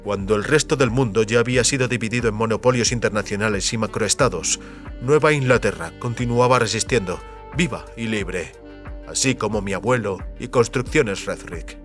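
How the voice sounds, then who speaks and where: A man playing a solemn, idealistic head of a secret family company in an audio drama, speaking in grave, deliberate speeches. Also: lowish voice, faint music behind, close to an everyday voice. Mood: solemn, proud.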